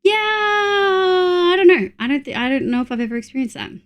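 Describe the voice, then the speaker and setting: A woman's voice: extremely high pitch, normal speed, lo-fi sound. Congested woman streamer. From Australia. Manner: chatty, supportive, thinks aloud with fillers. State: congested from a cold. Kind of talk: casual chat reading viewer messages.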